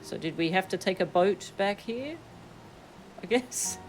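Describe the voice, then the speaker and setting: A woman's voice: medium pitch, lively intonation, unhurried, faint music behind. A woman game streamer chatting casually as she plays. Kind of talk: casual livestream chat and reading game dialogue aloud.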